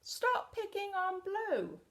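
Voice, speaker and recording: A woman voicing Yellow, a kind, timid little female color in a children's storybook. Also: very high voice, wildly swooping pitch, takes it slowly, voice pushed up, full character voice. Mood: kind, comforting.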